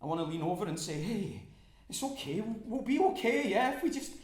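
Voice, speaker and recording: A frightened oil rig worker in a stage drama. His voice sits a little high, and he speaks haltingly, repeating himself breathlessly. The room echoes around him. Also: sing-song, darkish tone. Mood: anxious, pleading. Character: frightened oil rig worker trapped in a fire, trusting management. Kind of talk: dramatic first-person stage monologue.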